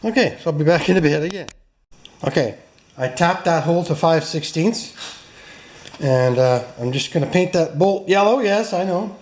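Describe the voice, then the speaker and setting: A man's voice: on the high side, animated, moderate pace, a little hiss or hum. A man hosting a workshop video, chatting casually and joking with viewers while he works in a garage. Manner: chatty, self-mocking, talks to viewers while working.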